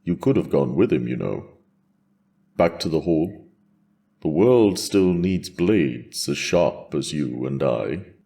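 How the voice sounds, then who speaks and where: A man voicing a wise, steady dragon in a fantasy audio drama, speaking in a measured, gently probing way. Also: a bass voice, vocal fry, heavily acted. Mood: gently questioning. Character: wise, steady male dragon, bonded to his rider.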